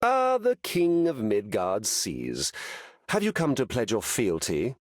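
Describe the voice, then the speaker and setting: A grandiose, mocking royal rival from a video game. The speech is drawn out and leaps dramatically across the pitch range. The sound is close and dry. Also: male voice, huge pitch swings, slow pace, no room sound. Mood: taunting. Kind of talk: video game character voice lines.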